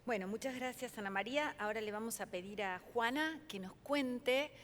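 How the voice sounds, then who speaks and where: A woman's voice: fairly high pitch, normal speed, a bit bright, big-room sound, a little hiss or hum. A woman moderating a panel, politely introducing the next speaker. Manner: polite, orderly.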